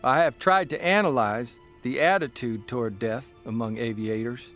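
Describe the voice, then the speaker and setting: A man playing a reflective, plainspoken newspaper columnist, giving sombre, measured dramatic narration. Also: mid-range voice, fairly bright tone, close to an everyday voice. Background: faint music.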